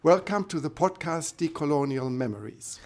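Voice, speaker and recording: A man hosting a podcast interview, asking measured, formal questions. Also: a little high, animated, darkish tone. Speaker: podcast host.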